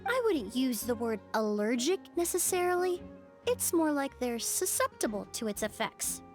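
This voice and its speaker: A young female investigator in a video game, and her voice sits high. She lays out her reasoning step by step in a clear, measured way. Faint music plays underneath. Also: very animated. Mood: explaining. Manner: measured, laying out a deduction step by step.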